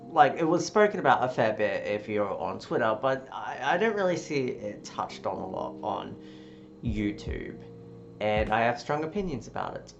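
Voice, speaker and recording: A YouTuber talking in a casual opinion vlog, a little sick and sniffly, energetic and swearing freely. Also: mid-range voice. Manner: energetic, swearing, with frequent cheers and laughs. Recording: dull lo-fi audio with guitar music behind.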